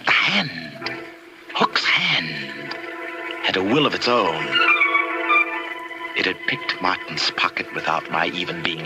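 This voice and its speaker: Haunted, wildly expressive tormented pianist. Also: male voice, sits low, low-quality recording. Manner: intense, theatrical, swinging from hushed to desperate. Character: haunted, frantic male pianist losing his mind. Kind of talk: 1950s radio horror acting with first-person narration. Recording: old radio broadcast, music underneath.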